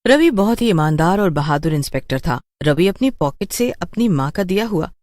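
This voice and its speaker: A woman's voice: on the low side, lively intonation, moderate pace, darkish tone, dry recording. A woman narrating a scripted horror story for a cartoon.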